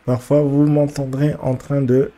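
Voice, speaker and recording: A man with a head cold giving casual, halting commentary as he streams a football video game, often repeating his words as he thinks aloud. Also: mid-range voice, flat delivery, dark tone. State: congested with a cold. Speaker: gaming streamer.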